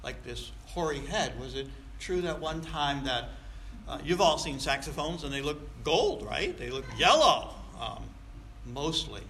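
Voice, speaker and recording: Man in his 60s, preacher, high-pitched, forceful bright tone, folksy, rhetorical questions, conversational sermon. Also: sing-song, measured pace. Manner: folksy, conversational, repeats phrases for emphasis. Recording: echoey room, faint background noise.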